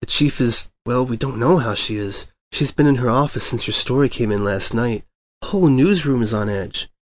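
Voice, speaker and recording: An anxious female newsroom pony from a cartoon fan story, acted out by a man. She gossips in hushed, urgent tones with a pointed edge. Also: medium pitch, normal speed, natural voice. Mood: gossipy.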